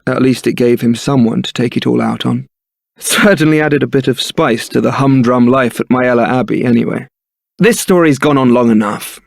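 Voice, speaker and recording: An actor playing a young templar knight in a video game, suave, wry and brooding, with a theatrical, articulate delivery. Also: male voice, mid-range voice, moderate pace, creaky, dry recording, clean studio sound, lightly acted. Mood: wry, bitter. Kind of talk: video game voice acting, dramatic scripted dialogue.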